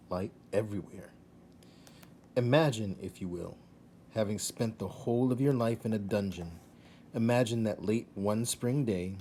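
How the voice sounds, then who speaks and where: A man with a low, soft-edged voice reads a children's story aloud. His delivery is measured and expressive, leaning on dramatic emphasis and hushed asides. There is clear background noise on the recording. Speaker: teacher reading a children's novel aloud.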